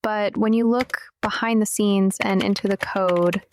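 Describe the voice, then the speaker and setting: A woman playing a blunt, determined young hacker sleuth in an audio drama, with a deadpan manner. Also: mid-range voice, a little slow, slight background noise, natural voice.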